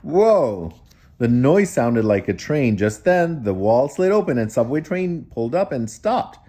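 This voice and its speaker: Deep female voice, mellow tone, expressive storybook reading, casual incredulous asides. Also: measured pace. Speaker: storybook reader. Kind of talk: read-aloud children's story with casual asides.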